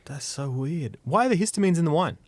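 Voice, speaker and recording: A man's voice: a little high, quick pace, no echo. A man, co-hosting a casual podcast and telling stories with self-mocking asides. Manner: chatty, animated storytelling with self-mocking asides. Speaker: podcast co-host, musician. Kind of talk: casual chat.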